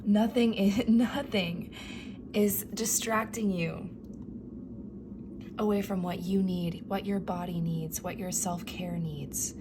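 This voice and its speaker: A woman reading tarot cards for her viewers, speaking softly and affirmingly, often slipping into a whisper, her voice going from a cough. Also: fairly low pitch, fairly even intonation, slow, noisy background. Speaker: tarot reader. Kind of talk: pick-a-card tarot reading.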